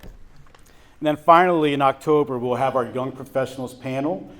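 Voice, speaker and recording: Man, mid-pitch, upbeat announcer, chamber event remarks, college event room. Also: moderate pace, fairly bright tone. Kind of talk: prepared remarks at a business breakfast.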